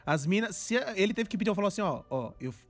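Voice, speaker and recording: A man's voice: sits high, animated, quick pace, soft background music. A young man, chatting and joking on a podcast, rambling and repeating himself in slangy street talk. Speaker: podcast co-host. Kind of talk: casual joking chat.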